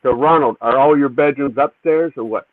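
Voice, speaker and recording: A man's voice: mid-range voice, moderate pace, dry recording. Easygoing man on a tinny line. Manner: asking questions, easygoing. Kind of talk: casual podcast banter. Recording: tinny phone-like remote line.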